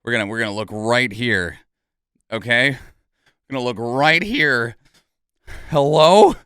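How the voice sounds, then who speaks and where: A man's voice: mid-range voice, takes it slowly, a bit bright, clear, resonant tone, dry recording, clean studio sound. A man giving casual, opinionated game commentary as a streamer, speaking emphatically and often checking in with short tag questions. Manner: emphatic, frequently tags statements with "okay?" and "right?"; swears casually.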